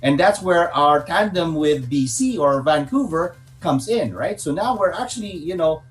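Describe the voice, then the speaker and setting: Halting, higher-pitched Filipino-accented man. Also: lively intonation, soft background music. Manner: halting, repetitive, frequent "you know" and "right?". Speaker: guest, bobsled team organiser. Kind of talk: casual interview talk.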